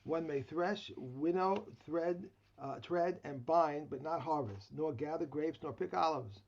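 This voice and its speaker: A man's voice: a little high, a little slow, highs cut off. A man who is losing his voice reading a text aloud in a study session over a video call. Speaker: study partner.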